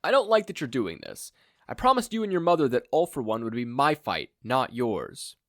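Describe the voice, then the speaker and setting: Reader acting older male mentor, fatherly, earnest, formal, emphatic, animated intonation. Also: medium pitch, normal speed, dry recording, clean studio sound. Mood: grave, concerned.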